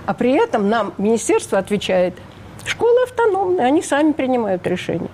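A woman's voice: fairly high pitch, wildly swooping pitch, a little fast, a little mellow, a little hiss or hum. An older woman speaking as an interview guest, emphatic and indignant, often asking rhetorical questions. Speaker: interview guest, refugee rights advocate. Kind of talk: radio interview.

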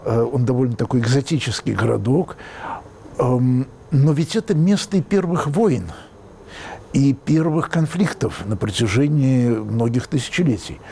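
A man's voice: mid-range voice, moderate pace, vocal fry, mellow, a little hiss or hum. A man interviewing, asking long, elaborate questions.

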